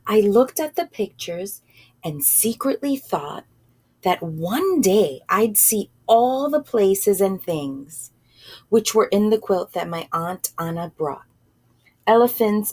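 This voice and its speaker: A woman storyteller reading aloud to children informally, pausing between short phrases and putting questions to her listeners. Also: mid-range voice, a little mellow, slightly rough.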